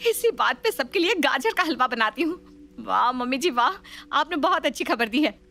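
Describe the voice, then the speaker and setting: A woman voicing an excitable young daughter-in-law in a family story, playful, dramatic and gleefully bossy. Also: high-pitched, normal speed, faint music behind, pitched above the performer's own voice, full character voice. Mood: excited.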